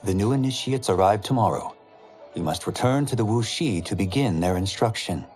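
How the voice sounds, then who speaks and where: An earnest, humble young monk, voiced by a man, polite and sincere. His lowish voice moves lively in pitch over faint game music. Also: a little fast, close to an everyday voice.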